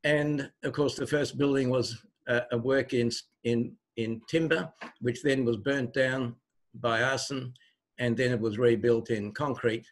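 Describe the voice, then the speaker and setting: Erudite older man, medium-pitched voice. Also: fairly even intonation, unhurried. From Basel, Switzerland. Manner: erudite, formal, discursive. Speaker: audience member asking a question. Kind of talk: long, considered spoken question.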